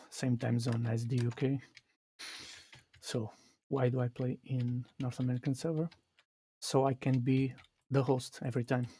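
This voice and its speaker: A man from Portugal with a Portuguese accent, explaining his game live in a halting way that restarts phrases. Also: medium pitch, dark tone, slightly rough. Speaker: streamer. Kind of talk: live gameplay commentary.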